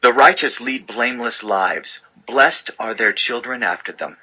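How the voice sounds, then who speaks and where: A man narrating an audio Bible as a dramatized scripture reading, with measured, declamatory delivery of short sayings. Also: mid-range voice, sharp tone, husky, low-quality recording.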